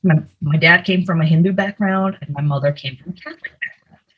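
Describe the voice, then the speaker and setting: Breathy woman giving a casual talk. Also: low voice, brisk, lo-fi sound. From India. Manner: conversational, many fillers. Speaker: presenter. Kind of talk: slide presentation talk. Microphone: computer mic, video call.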